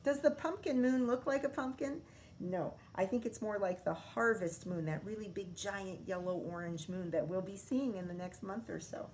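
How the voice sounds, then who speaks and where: A woman's voice: medium pitch, moderate pace, darkish tone, a little hiss or hum, poor audio. A woman teacher reading a picture book aloud to young children, expressively, with chatty explanations and questions.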